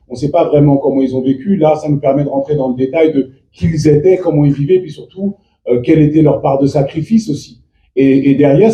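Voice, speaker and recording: A man, a native Fula speaker, answering press questions as an actor in a halting way with many restarts. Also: on the high side, mellow. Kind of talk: press interview. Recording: echoey room from far off.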